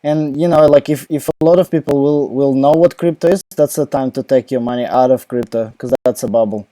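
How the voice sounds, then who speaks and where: A young Ukrainian-accented man answers questions live from home into his laptop microphone. His voice is very dark and mellow, and the sound is dry and close. He rambles casually, full of fillers and false starts. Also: medium pitch, a little fast, no room sound, poor audio. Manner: rambling, many fillers and restarts. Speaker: crypto live Q&A host. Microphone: laptop mic.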